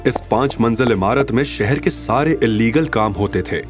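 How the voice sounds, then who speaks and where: A man's voice: medium pitch, moderate pace. A man narrating a scripted audio drama story in a dramatic style. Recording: faint music behind.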